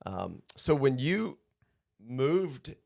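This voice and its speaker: Male podcast host, mid pitch, upbeat and chatty, laughs easily, casual studio interview delivery. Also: very animated, extremely slow, vocal fry, a little mellow.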